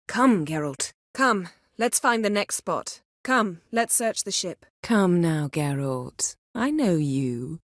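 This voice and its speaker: A woman voicing a proud, sharp-tongued sorceress in a video game, speaking in formal, crisp, imperious phrases with composure and wry wit. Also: fairly low pitch, no echo, clean studio sound. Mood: brisk, insistent. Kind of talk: video game character dialogue.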